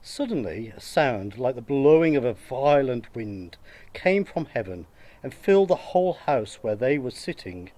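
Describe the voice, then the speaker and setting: Slow, measured male scripture reader. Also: mid-range voice, very animated, warm tone. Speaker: Bible reader. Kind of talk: scripture reading in church.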